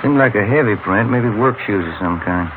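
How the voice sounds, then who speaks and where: Guy acting glum easygoing cop partner, low-ish understated voice, noisy old radio show. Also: normal speed, narrow, tinny audio, natural voice. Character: mildly glum, easygoing male detective partner. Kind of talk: 1950s radio drama acting.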